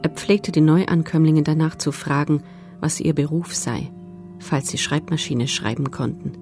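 A composed woman prisoner recalls camp life for a radio documentary drama. Her voice is very low. She speaks soberly and with measure, over faint music. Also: fairly even intonation, measured pace, a little mellow. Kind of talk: dramatised testimony reading.

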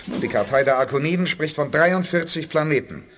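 An actor playing a confident, stubborn spaceship commander with firm, dryly ironic delivery in a 1980s German radio play. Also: male voice, fairly high pitch, expressive, brisk, fairly bright tone, slight background noise. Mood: explaining.

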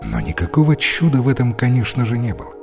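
A man's voice: mid-range voice, wildly swooping pitch, fast talker, creaky. A man narrating an audiobook tale in a scripted storytelling style. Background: music.

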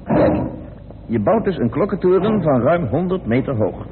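Methodical chief sleuth, tinny radio. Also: male voice, a little high, sing-song, moderate pace. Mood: reasoning, insistent. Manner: polite but insistent, explanatory. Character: brisk, methodical male chairman of amateur sleuths. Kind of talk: Dutch radio drama acting. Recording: noisy, tinny old radio recording.

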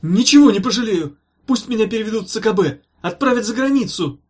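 A man's voice: a little high, expressive, quick pace. A man voicing a frightened, gullible male hospital patient in an audiobook, anxious, whining and pleading. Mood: frantic begging. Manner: anxious, whining, breathlessly insistent.

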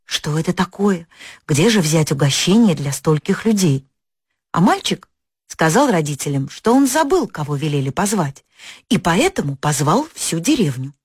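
A woman narrating a folk fairy tale for an audio story, expressive and lifting her pitch on key words. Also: moderate pace, breathy edge, no echo, clean studio sound.

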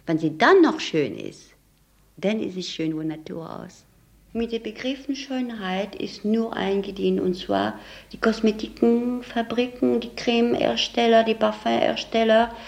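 A woman's voice: on the high side, creaky. A woman, a saleswoman, giving blunt opinions in an interview. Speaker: department store saleswoman.

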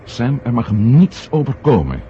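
A man's voice: mid-range voice, big pitch swings, normal speed, warm tone, natural voice. A man acting a stubborn, suspicious journalist and former astronaut in a radio drama, insistent and wry. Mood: worried, earnest. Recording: muffled, noisy 1970 radio recording.

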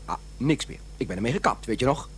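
An actor playing a desperate, self-deluding middle-aged smoker in a radio drama, talking in an earnest, halting, theatrical way. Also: male voice, medium pitch, animated, slight background noise, close to an everyday voice. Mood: hesitant.

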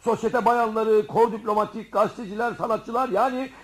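An actor playing a vain, self-important man in a radio comedy, calling out loudly and pompously. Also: sits high, steady tone, a little fast, lightly acted. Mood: self-important, bossy. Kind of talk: radio theatre comedy acting.

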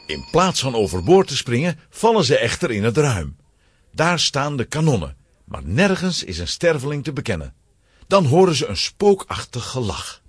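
A man's voice: mid-range voice, huge pitch swings, moderate pace, slightly rough, no room sound, clean studio sound. A man narrating a children's adventure story in a dramatic, scripted storytelling style.

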